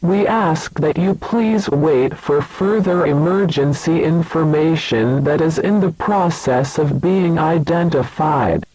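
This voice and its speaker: A man delivers a formal emergency alert in a fairly high voice. He reads very slowly, with a husky edge to the sound. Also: darkish tone, no room sound, low-quality recording. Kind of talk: scripted emergency broadcast announcement.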